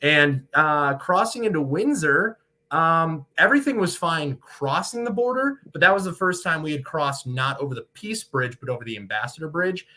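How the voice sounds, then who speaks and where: Bright-voiced American male sportscaster. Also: on the high side, animated, moderate pace. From Michigan, United States. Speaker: sportscaster, radio play-by-play broadcaster, interview guest. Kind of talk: casual interview talk.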